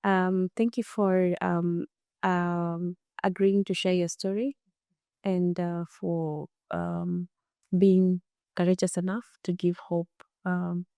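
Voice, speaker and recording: A woman hosting an interview, asking questions slowly with many hesitations. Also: fairly low pitch, flat delivery, gravelly, smooth, no room sound. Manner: slow and hesitant, many ums and soft mm-hmms. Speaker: interview host.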